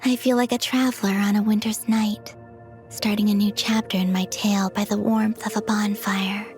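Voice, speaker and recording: Soft, gentle moon goddess played by a woman. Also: medium pitch, flat delivery, moderate pace, soft background music, lightly acted. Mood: wistful, hopeful. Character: gentle, serene young moon goddess, tender and hopeful. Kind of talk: video game cutscene acting.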